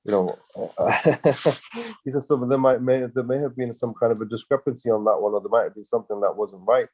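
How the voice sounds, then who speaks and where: A man's voice: mid-range voice, brisk, a little mellow, lo-fi sound, a little hiss or hum. A man co-hosting a casual business chat, rambling through his points with many fillers and restarts. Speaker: co-host.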